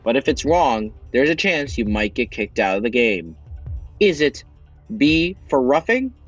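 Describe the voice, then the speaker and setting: A man hosting a quiz show, reading questions with drawn-out pauses for suspense. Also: medium pitch, big pitch swings, slightly rough, no room sound, dull audio, faint music behind. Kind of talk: hosting a voiced game show on a video game platform.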